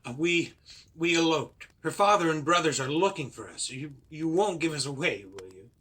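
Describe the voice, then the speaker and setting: An actor playing a plucky, wry gentleman on the run in an audio play. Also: fairly high pitch, animated, normal speed, husky. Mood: embarrassed politeness. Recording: noisy amateur home recording, clicks.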